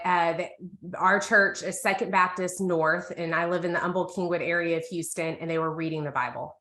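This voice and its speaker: Female co-host, 30s, American accent, bright voice, candid conversational testimony, echoey room. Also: lowish voice. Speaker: co-host of a women's Bible reading group. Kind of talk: candid, casual personal testimony.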